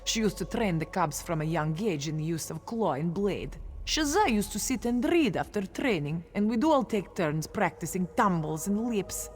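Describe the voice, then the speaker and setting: Woman acting a proud young cat-folk warrior, animated. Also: fairly low pitch, moderate pace, few pauses, soft background music, lightly acted. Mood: wistful, grieving. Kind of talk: video game character dialogue.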